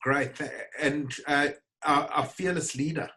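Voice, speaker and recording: A man's voice: fairly high pitch, fairly even intonation, unhurried, sharp tone. A man chairing an online meeting, breaking in with brief, encouraging remarks.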